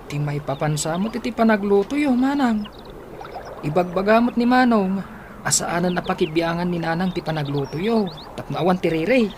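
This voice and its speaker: A woman's voice: lowish voice, lively intonation, moderate pace, slight background noise, lightly acted. A woman playing a young in-law relaying household gossip in an Ilocano radio drama. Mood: confiding.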